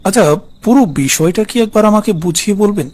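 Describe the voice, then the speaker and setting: A man's voice: high voice, expressive, a little fast, slight background noise. A man playing a polite, inquisitive city man who narrates a horror tale in a dramatic, earnest way. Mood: polite, persuasive. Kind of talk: scripted horror narration and dialogue. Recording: loud music under the voice.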